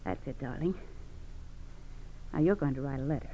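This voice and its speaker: An actress playing a domineering, scheming American criminal wife in 1940s radio crime drama, bossy, coaxing and belittling. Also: female voice, extremely low pitch, normal speed. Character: domineering, scheming criminal wife goading her frightened husband. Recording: dull old radio recording with hiss.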